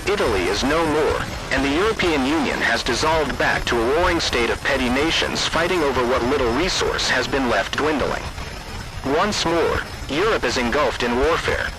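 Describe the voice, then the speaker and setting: A man reading scripted emergency alert announcements in a formal, deliberate manner. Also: medium pitch, rough recording. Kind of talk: scripted emergency broadcast narration.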